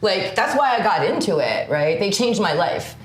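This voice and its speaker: A woman's voice: mid-range voice, sing-song, moderate pace, reverberant, slight background noise. A young woman co-hosting a podcast as a stand-up comic, talking bluntly and jumping between ideas in casual chat.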